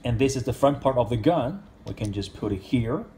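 Guy showing off a toy figure, voice bounces up and down a lot, kinda mellow tone, simple english. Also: mid-range voice, animated, a little fast. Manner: non-native English, simple phrasing. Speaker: toy reviewer. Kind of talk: casual product review.

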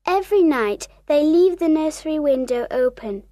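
A woman voicing a gentle, motherly young girl in a children's storybook, earnest and tender. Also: sits high, animated, normal speed, no room sound, studio quality, voice pushed up. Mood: sorrowful storytelling. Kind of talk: storybook character acting.

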